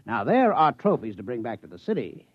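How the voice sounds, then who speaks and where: A lazy, sardonic American city fellow in a 1950s radio comedy-drama, grumbling with big swings of intonation. The voice sits at middle pitch on a dull vintage recording. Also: male voice, wildly swooping pitch, a little fast, no room sound. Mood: wry, teasing. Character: lazy, wry city man, hard-drinking reluctant camper.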